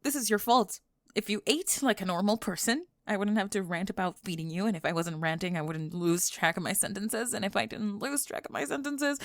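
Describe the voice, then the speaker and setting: A woman's voice: medium pitch, no echo, studio quality, close to an everyday voice. A young woman acting out a prickly, flustered goth girl student with a secret crush in an ASMR roleplay, sarcastic and defensive. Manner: sarcastic, defensive, halting and stammering when flustered. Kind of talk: ASMR roleplay acting, one-sided dialogue.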